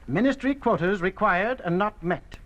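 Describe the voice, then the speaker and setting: A meek, officious clerk's assistant in a classic radio drama. His voice is high for a man, with a formal, clipped and deferential delivery. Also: dull audio, heavily acted. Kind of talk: 1950s radio drama acting.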